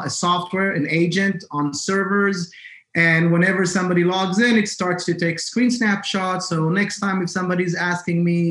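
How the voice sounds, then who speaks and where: A man's voice: sits high, fairly bright tone. A middle-aged man with an Israeli accent, talking conversationally as an interview guest. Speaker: guest, cybersecurity entrepreneur. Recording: roomy, echoing sound.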